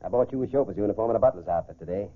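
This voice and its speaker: An actor playing a confident, calculating gentleman swindler in a 1940s American radio crime drama. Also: lowish voice, racing, lightly acted. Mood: cool, scheming. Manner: self-assured, calculating, clipped orders. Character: smooth, confident gentleman swindler posing as society golfer. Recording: muffled, phone-like 1940s radio recording.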